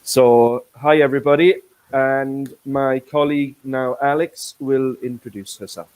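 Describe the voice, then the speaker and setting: A man's voice: mid-range voice, fairly even intonation, unhurried, darkish tone, clean tone, low-quality recording. A man from Wales hosting an online business English lesson, speaking carefully with frequent fillers and repeated words. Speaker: corporate English teacher, webinar host. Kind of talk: teaching webinar, explaining words.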